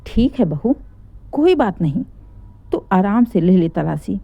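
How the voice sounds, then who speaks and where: A woman voicing a gentle, wronged elderly aunt, resigned and conciliatory, in a family story. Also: mid-range voice, sing-song, measured pace, gravelly, slightly rough, no echo, narrow, tinny audio, exaggerated voice.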